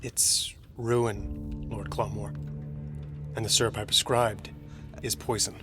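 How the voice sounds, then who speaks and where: An actor playing a grave, courteous physician to a noble family in a period audio drama, clinical, polite and firm. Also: male voice, fairly low pitch, flat delivery, slow pace, over music. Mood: grave, insistent.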